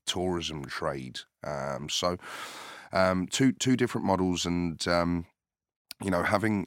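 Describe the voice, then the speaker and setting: An extremely deep-voiced man who grew up in the UK speaks in a flat, level tone with a gravelly edge. He answers interview questions in a measured, hesitant way, filling pauses with ums. The recording is dry and close. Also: no room sound. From United Kingdom. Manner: measured and hesitant, many filler sounds. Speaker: company founder being interviewed. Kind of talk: business podcast interview.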